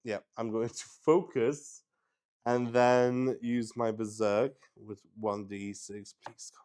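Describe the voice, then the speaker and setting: A man's voice: mid-range voice, steady tone, takes it slowly, a little mellow, slight background noise. A man narrating a solo tabletop roleplaying game casually, thinking aloud, mocking himself and swearing freely. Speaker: solo player and game master.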